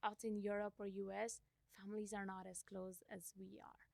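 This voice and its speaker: A young woman from India, whose first language is Gujarati, speaks as a podcast guest and thinks out loud with easy soft laughs. Her voice has a gravelly, creaky edge and sits in a middle range for a woman. The recording is dry and close. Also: mid-range voice, level tone, normal speed, dry recording. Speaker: podcast guest, company CEO. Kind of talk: casual interview conversation.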